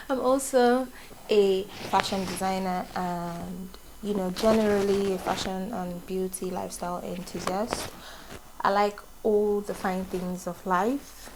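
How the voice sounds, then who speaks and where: A woman's voice: medium pitch, steady tone, unhurried. A young Nigerian woman talks casually in a vlog and breaks into laughter often. She speaks English as a second language with a Nigerian accent and rambles through asides. A faint hiss sits under her voice. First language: not English. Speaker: vlogger. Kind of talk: casual get-to-know-me vlog.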